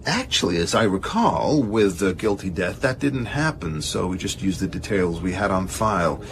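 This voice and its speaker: An actor playing an urbane middle-aged literary agent in a radio drama, speaking precisely with dry, slightly haughty wryness. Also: male voice, sits low, animated, moderate pace, background noise. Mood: wry, assured. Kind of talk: naturalistic radio drama acting.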